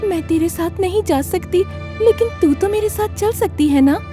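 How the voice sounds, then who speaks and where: A woman voicing a loyal, brave female fox in an animated fable, earnest and protective. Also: extremely high pitch, moderate pace, music in the background, pitched above the performer's own voice. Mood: devoted.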